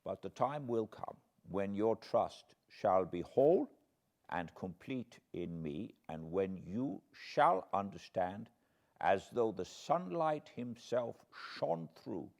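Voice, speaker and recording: A man voicing a solemn, slow-spoken old professor. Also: lowish voice, animated, creaky, exaggerated voice. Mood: grave, earnest. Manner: solemn, gentle, halting foreign phrasing. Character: grave, kindly old professor, foreign-born, earnest.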